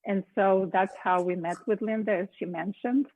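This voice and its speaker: A woman's voice: medium pitch, normal speed, darkish tone, no room sound, rough recording. A middle-aged woman from Latvia, hosting a cooking show and telling her story conversationally, with small hesitations. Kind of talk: casual personal account.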